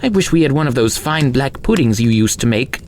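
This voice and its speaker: Man acting a simple, animated woodcutter husband. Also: mid-range voice, fairly quick, a little hiss or hum, pitched above the performer's own voice, natural voice. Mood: hungry musing.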